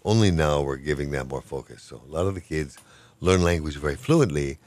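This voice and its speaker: An older man with an extremely deep, low voice talks in a relaxed, rambling way. He is good-humored and self-deprecating, a professor chatting through an interview. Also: a little fast. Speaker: professor of Hawaiian language. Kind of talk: casual interview talk.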